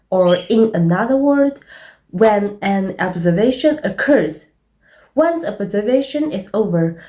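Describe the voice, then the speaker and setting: A woman presents a scripted video essay, speaking earnestly and deliberately in non-native English. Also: mid-range voice, dark tone. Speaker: presenter of a literary commentary.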